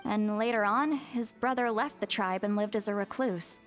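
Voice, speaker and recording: A woman voicing a composed, scholarly character in a video game, measured, thoughtful and gently firm. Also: mid-range voice, faint music behind, close to an everyday voice. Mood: pondering.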